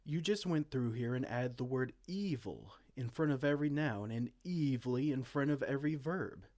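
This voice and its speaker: A man's voice: medium pitch, lively intonation, moderate pace, a little mellow. A man playing a dorky, petulant evil king in a comedic fantasy roleplay, pompous, theatrical and exasperated. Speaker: amateur roleplay audio performer.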